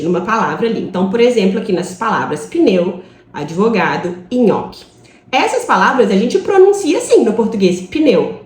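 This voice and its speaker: Lively, echoey Brazilian woman teaching. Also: fairly high pitch. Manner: explanatory, lively, checks in with "né?" and "tá?". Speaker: English teacher. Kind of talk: online class explanation.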